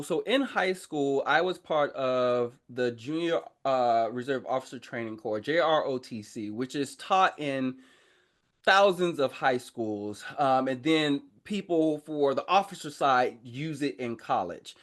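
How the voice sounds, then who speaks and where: A man speaking as a podcast guest, telling his own story in a casual, informal, animated way and often interrupting himself. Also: on the high side. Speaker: podcast guest, army veteran. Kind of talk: casual conversational personal storytelling.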